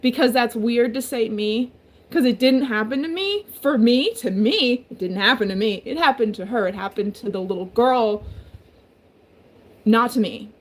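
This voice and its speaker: A woman with a low, damaged, smoky-sounding voice and heavy vocal fry, talking in a halting, emotional confessional way. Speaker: vlogger. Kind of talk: confessional personal vlog.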